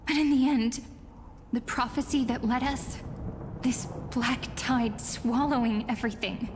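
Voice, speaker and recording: An actress playing a sorrowful, gentle young heroine in a game, speaking in a wistful, deliberate way. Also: female voice, fairly high pitch, a little hiss or hum, close to an everyday voice. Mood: anguished. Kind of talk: dramatic game voice acting.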